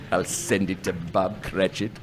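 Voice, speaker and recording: An actor playing a reformed old miser in a live radio play, chuckling and stammering with glee. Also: male voice, sits low, flat delivery, slow pace, slight background noise. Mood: giddy.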